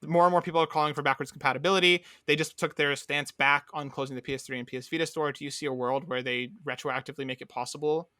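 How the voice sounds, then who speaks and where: A man's voice: on the high side, steady tone, fast talker, hardly any pauses, sharp tone, no echo, studio quality. A man hosting a podcast, talking casually and inquisitively, often checking that others follow him. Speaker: podcast host. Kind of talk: casual discussion, posing questions.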